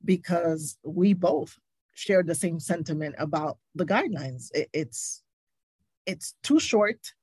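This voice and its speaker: A woman's voice: fairly low pitch, lively intonation, slow pace, darkish tone, slightly rough, no echo. Woman nurse getting fired up, talks with emphasis, super dry sound. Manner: emphatic, indignant, repeats for stress. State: recovering from covid, stuffy. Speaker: travel nurse guest. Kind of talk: candid podcast interview.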